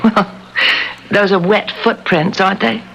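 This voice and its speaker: Uneasy wife, noisy old radio mystery. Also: female voice, medium pitch, steady tone, a little slow, gravelly, fairly bright tone. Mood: puzzled, insistent. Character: observant, uneasy married woman in haunted house. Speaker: radio drama actor. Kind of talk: 1970s radio mystery drama acting. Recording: noisy 1970s radio broadcast recording.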